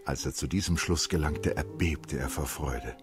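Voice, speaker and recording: A man narrating a literary radio drama in a measured, scripted manner. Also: very deep voice. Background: music.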